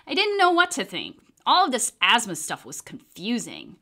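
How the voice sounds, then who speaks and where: Bright, animated woman reading a storybook. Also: on the high side, moderate pace. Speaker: storybook reader. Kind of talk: animated children's book reading.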